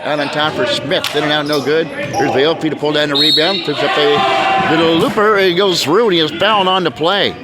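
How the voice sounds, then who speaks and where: Man calling basketball play-by-play. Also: fairly high pitch. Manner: brisk, talks over the action. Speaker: play-by-play announcer. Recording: loud crowd and bouncing balls behind. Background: gym crowd and basketball bounces.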